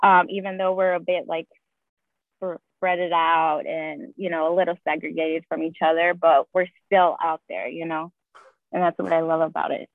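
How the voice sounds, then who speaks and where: A woman's voice: deep voice, little pitch movement, normal speed, dry recording. A woman whose first language is Spanish, a guest nurse chatting casually with lots of fillers. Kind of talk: casual conversation. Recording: tinny phone-like call audio, dry.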